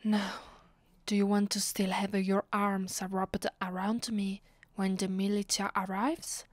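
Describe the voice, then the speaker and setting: An imperious, ancient vampire lady played by a woman speaks slowly in a soft, half-whispered voice with an Italian accent. The delivery is dramatic and possessive, close and dry, in the manner of an ASMR roleplay. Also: medium pitch, flat delivery, no echo, close to an everyday voice. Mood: commanding, intimate. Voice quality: soft, breathy, often dropping to a whisper. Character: aristocratic female vampire, centuries old, bitter and possessive. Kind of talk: ASMR roleplay, intimate scripted acting.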